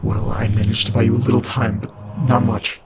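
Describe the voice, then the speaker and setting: Male actor as shadowy demon protector, gravelly, wry, urgent, even-toned, audio drama, background noise. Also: mid-range voice, brisk, natural voice. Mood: wry relief. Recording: noisy, ambience behind the voice.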